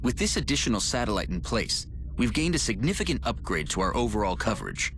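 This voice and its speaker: A man playing a firm, dutiful military operations officer, brisk and businesslike, in a video game. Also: fairly low pitch, big pitch swings, background noise. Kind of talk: scripted video game dialogue.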